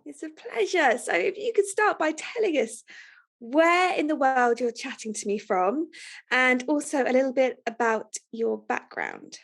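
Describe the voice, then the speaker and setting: A woman's voice: sits high, expressive, measured pace, vocal fry. A woman hosting a podcast, introducing and questioning a guest in a conversational style. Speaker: podcast host.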